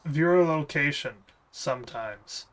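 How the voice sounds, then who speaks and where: Bright-voiced laid-back male gamer. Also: a little high, a little slow. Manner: laid-back, rambling, answers chat questions with small laughs. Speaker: gaming streamer. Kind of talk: casual gameplay chat with viewers.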